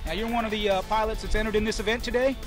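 An older man works as an event interviewer with a high-pitched voice. He asks his questions briskly into a handheld mic outdoors, with music playing clearly behind him. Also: fairly even intonation. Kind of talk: on-location interview. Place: outdoors at a flying field. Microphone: handheld foam-covered microphone.

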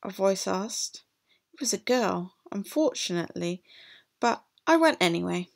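Expressive, slow-paced woman reading a storybook. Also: medium pitch, gravelly. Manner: animated, deliberate. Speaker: teacher reading a picture book. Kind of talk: expressive storybook read-aloud for children.

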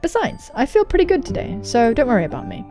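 A woman voicing a sweet, reassuring young female student as she reads visual novel dialogue, soft and earnest. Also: on the high side, huge pitch swings, fast, faint music behind. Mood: gentle, reassuring.

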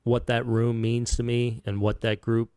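A man in his fifties, a baseball manager at a postgame press conference, answering in a halting, soft-spoken way with many restarts, sounding heartbroken. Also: mid-range voice, flat delivery, smooth, dry recording, studio quality. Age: 50s. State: heartbroken, subdued. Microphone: table microphone.

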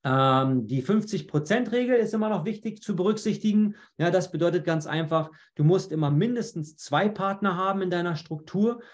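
A man's voice: high voice, expressive, moderate pace, few pauses. German-speaking man explaining on a screen share. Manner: explanatory, many fillers and restarts. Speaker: webinar presenter.

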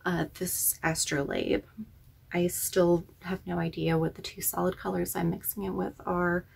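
A woman's voice: lowish voice, level tone, unhurried, creaky, slightly rough. A woman in her thirties chatting casually about her knitting projects at home, self-deprecating and full of ums, recorded on a webcam in a small living room. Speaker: knitting podcast host. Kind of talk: casual knitting vlog chat.

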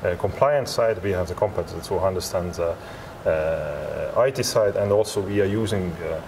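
Low-voiced man, halting, over venue noise. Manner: halting, repetitive non-native English phrasing. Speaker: interviewed software company representative. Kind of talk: interview answers. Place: large glass-walled expo hall, indoors. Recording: clear ambient hum of large venue.

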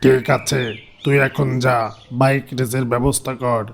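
Guy doing a boastful grumpy tiger for a cartoon, creaky voice, kinda flat, threatens everyone. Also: medium pitch, normal speed, slight background noise, natural voice. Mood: boastful, vengeful. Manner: threatening, self-important. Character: grumpy boastful adult male tiger, uncle to the others. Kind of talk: cartoon character voice acting.

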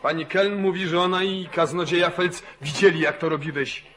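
An actor playing a suspicious, wavering landowner who questions sternly, in the style of old radio drama. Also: male voice, high voice, normal speed, fairly bright tone, slight background noise. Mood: suspicious, accusing. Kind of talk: 1940s Polish radio drama acting.

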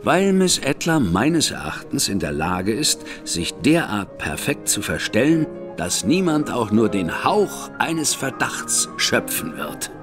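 An actor playing a composed, shrewd older brother who lays out plans in a measured, wry manner. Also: male voice, medium pitch, big pitch swings, over music. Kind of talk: German audio drama acting. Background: faint background score.

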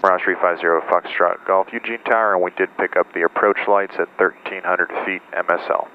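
A man's voice: low-pitched, steady tone, a little fast, narrow, tinny audio. A man piloting a small plane, narrating his approach and making radio calls in clipped checklist phrases. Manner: clipped checklist call-outs. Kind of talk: cockpit commentary and radio calls. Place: small aircraft cockpit in flight. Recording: noisy, band-limited cockpit audio.

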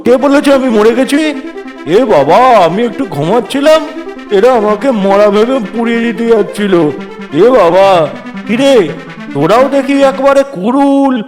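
Cartoon casting: man as innocent, nosy ordinary fellow, high-pitched male, chatty. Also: animated, moderate pace, darkish tone, soft background music. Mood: indignant, surprised. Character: naive, chatty living man wandering curiously among the dead. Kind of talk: Bengali cartoon voice acting.

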